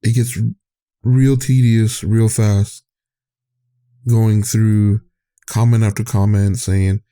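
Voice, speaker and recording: A man's voice: fairly low pitch, level tone, takes it slowly, dark tone. A man hosting his own podcast, talking in a candid, rambling way, often trailing off and restarting, his voice getting tired. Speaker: podcast host. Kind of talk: casual rambling monologue.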